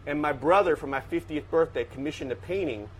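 A man's voice: fairly high pitch, fairly quick, a little hiss or hum, rough recording. Fifty-year-old man telling racing stories. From Washington, D.C. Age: 50s. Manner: thoughtful, analytical, with many restarts. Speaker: guest, economics professor. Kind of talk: casual interview storytelling.

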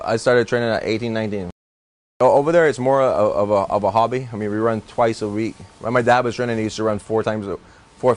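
A young man with a Barbadian accent talks fast in a low voice, rambling and restarting as he recalls his life in a relaxed interview. He sounds like a horse trainer chatting freely with a host. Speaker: racehorse trainer. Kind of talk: casual interview.

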